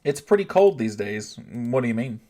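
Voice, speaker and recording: A man, a streamer, chatting casually with his live chat, thinking out loud and often restarting his sentences. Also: medium pitch, very animated, moderate pace.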